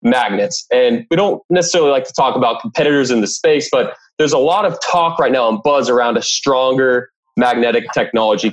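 A man's voice: medium pitch, muffled recording. A man hosting a podcast, asking questions in a conversational technical interview style.

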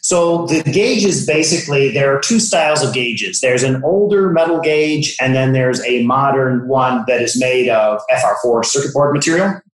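A man's voice: medium pitch, moderate pace, hardly any pauses. A man giving a technical demonstration as a product expert, explaining things step by step. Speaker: product expert from an encoder manufacturer. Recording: very echoey room.

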